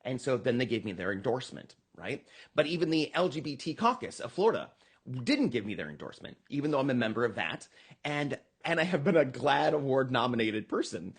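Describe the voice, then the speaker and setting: Animated American man, slightly husky voice. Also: medium pitch, brisk. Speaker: political candidate being interviewed. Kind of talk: conversational campaign pitch in a podcast interview.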